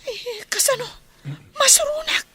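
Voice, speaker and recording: A woman's voice: very high-pitched, unhurried. A woman acting a spiteful, gossiping married village woman in an Ilocano radio drama, with a snide, exaggerated delivery. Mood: irritated, sneering.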